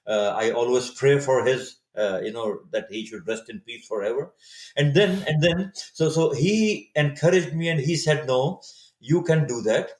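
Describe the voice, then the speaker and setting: A man in his fifties from Saudi Arabia, a native Urdu speaker, telling his story as a podcast guest, thoughtful and often pausing to restart his words. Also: medium pitch. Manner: reflective, searching for words with frequent restarts. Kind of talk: casual interview storytelling. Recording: echoey room sound.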